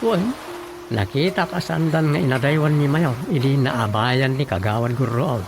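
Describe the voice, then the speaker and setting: Practical, inquisitive village councilman played by a man, Ilocano accent, medium pitch, conversational radio comedy, clear music bed. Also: normal speed, lightly acted. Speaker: drama actor.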